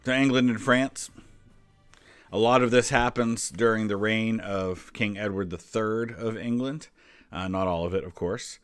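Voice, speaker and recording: A man's voice: on the low side, fairly even intonation, a little slow, clear, resonant tone, no room sound, faint music behind. An American man in his forties giving casual, conversational commentary and explaining history as he goes. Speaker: history vlogger, host.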